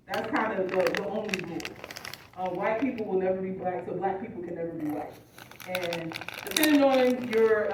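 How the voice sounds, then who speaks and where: Woman lecturing in an echoey classroom. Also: mid-range voice. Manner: explanatory, often checks that students understand. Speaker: college instructor. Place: college classroom. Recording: echoey classroom, distant phone recording, background noise.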